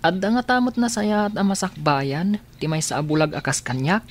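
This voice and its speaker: A woman's voice: fairly low pitch, moderate pace, slight background noise, lightly acted. A woman playing an earnest, doubtful blind young boy in a radio drama, speaking plaintively. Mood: troubled, doubtful.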